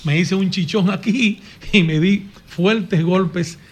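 A Dominican man with a notably high voice talks as a radio guest. He tells playful, colloquial stories in a casual, conversational way. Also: slow. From Dominican Republic, grew up in the small town of Castillo. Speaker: radio show guest recounting youth. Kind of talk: casual anecdotal storytelling.

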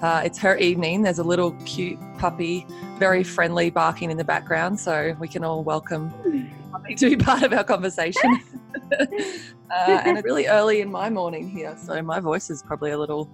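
A woman hosting a podcast, speaking earnestly and reflectively, a little croaky in the early morning. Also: on the low side, lively intonation, brisk, over music. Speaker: podcast host. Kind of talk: scripted intro then casual chat.

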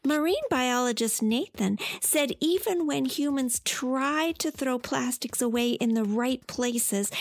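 A woman's voice: high voice, dry recording. A woman voicing a playful, curious young octopus-puppy creature in a scripted children's podcast. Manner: playful, exaggerated stumbling over big words.

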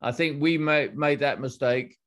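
Measured middle-aged man explaining. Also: a little high, steady tone, a bit bright. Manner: measured, explanatory, with frequent restarts. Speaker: former executive, author. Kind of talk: interview answers.

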